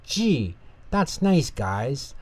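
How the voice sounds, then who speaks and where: Male reader as small childlike mouse for a bedtime story: eager, anxious, lively swoops in pitch. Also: drawn-out words, no room sound, full character voice. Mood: cheerful.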